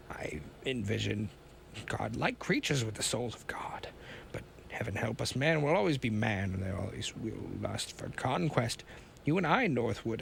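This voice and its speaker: A man voicing a huge, shaggy, frightened scientist with a German accent who talks in hushed whispers. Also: mid-range voice, creaky, a little hiss or hum.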